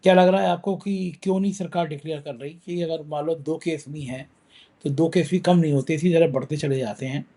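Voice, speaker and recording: A man's voice: fairly high pitch, fairly even intonation, a little fast, mellow. A man hosting a political news talk show, talking with a sore throat in a conversational, emphatic way.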